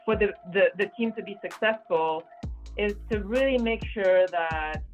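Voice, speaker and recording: A woman's voice: mid-range voice, measured pace, highs cut off, soft background music. A woman in her thirties from Poland, raised in France, speaking as an interview guest in casual conversation, with frequent fillers and restarts. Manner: frequent fillers and repeated words, earnest. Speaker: guest, business consultant and former volleyball captain.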